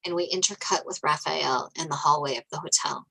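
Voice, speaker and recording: Bright, lower-pitched woman reading stage directions. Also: a little fast, creaky, breathy edge, rough recording. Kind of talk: scripted narration of screenplay directions.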